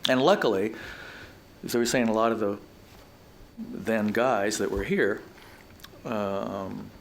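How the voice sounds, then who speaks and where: A man speaking as a panelist at a college alumni roundtable, measured, with pauses. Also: lowish voice, gravelly, a little hiss or hum. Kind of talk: reflective panel discussion. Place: college event room.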